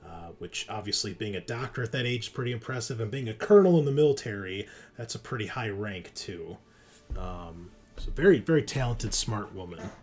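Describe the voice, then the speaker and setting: A man with a fairly low voice acts as game master for a tabletop roleplaying session. He explains in a conversational way, often pausing partway through a sentence, with music playing behind him. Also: lively intonation, darkish tone. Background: background music.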